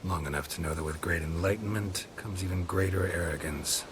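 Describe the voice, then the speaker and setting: A man playing a laconic, world-weary monster hunter in a video game, deadpan and clipped. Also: low-pitched, a little fast, noisy background, natural voice. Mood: matter-of-fact. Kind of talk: video game character acting.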